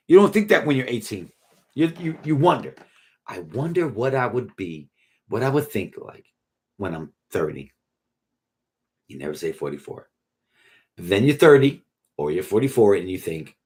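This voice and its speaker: A man's voice: lowish voice, breathy edge. A man in his forties chatting casually on a live stream, reading viewer comments in a laid-back, slangy way, tired and yawning. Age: 40s. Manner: laid-back slangy, drifts from mumbling to excited.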